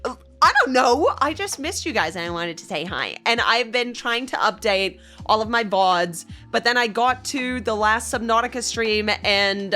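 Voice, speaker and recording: A woman's voice: medium pitch, sharp tone. A young woman streaming from home, chatting casually with viewers, greeting them by name and often breaking into giggles. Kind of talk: casual chat with live viewers. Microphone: desk mic, loud.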